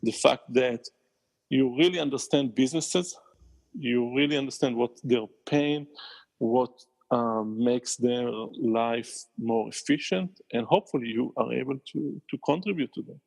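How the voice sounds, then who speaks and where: A man with an Israeli accent, a company founder, answering questions in a podcast interview with thoughtful, halting replies and frequent laughs. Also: medium pitch, creaky, a little mellow, low-quality recording. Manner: thoughtful, many restarts, laughs often.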